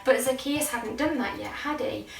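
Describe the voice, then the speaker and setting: A woman's voice: high-pitched, moderate pace, gravelly. A woman leading children's Sunday school, explaining simply and asking lots of questions. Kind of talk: interactive children's Bible teaching. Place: indoor church studio. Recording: echoey room, distant mic, faint music.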